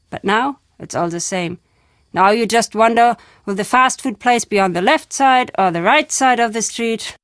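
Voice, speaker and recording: A woman's voice: on the high side, no echo, clean studio sound, natural voice. An actress playing a wry, fatalistic old woman storyteller in a video game, speaking dramatically. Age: older. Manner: dramatic, emphatic storytelling with foreboding turns.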